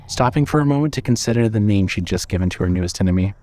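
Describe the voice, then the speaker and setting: A man's voice: on the low side, animated, rapid-fire, warm tone. A man reading scripted horror fiction as the narrator of an audio drama.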